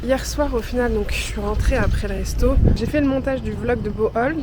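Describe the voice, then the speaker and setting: A woman's voice: a little high, fairly quick. Chatty young woman vlogging outdoors. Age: 20s. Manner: chatty, reflective, speaks to viewers as friends. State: has a cold and sore throat. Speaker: travel vlogger. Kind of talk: casual confessional vlog chat. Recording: clear outdoor street noise behind.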